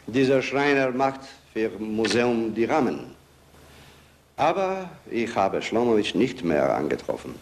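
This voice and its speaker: A careful museum director. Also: male voice, lowish voice, big pitch swings, measured pace, noisy background. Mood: subdued. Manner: careful, polite, subdued. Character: cautious middle-aged museum director, deferential. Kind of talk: film acting.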